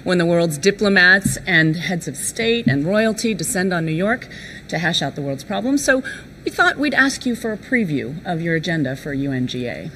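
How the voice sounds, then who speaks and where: Woman news interviewer, lower voice, polished broadcast delivery. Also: lively intonation, normal speed, slight background noise. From South Korea.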